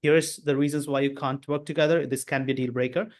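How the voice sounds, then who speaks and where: A man's voice: fairly high pitch, fairly even intonation, quick pace, darkish tone. Hesitant man. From India. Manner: hesitant, searching for words. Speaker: coaching client. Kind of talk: asking questions on a coaching call.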